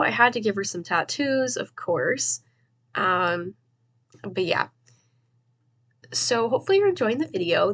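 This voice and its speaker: A woman's voice: mid-range voice, fairly bright tone. A young woman with a stuffy, slightly nasal voice, casually talking through a game build as a chatty voice-over host. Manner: chatty and rambling, with fillers and laughs. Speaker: gaming video host. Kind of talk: casual voice-over gameplay commentary.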